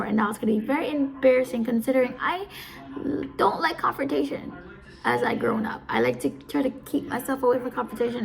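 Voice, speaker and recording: A young woman in her early twenties talks through advice in a loose, rambling way. Her voice sits a little high, with a heavy gravelly rasp. She drifts off track and circles back to the same point. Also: poor audio. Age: early 20s. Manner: rambling, easily distracted, repeats herself. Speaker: audio live streamer. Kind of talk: casual advice talk.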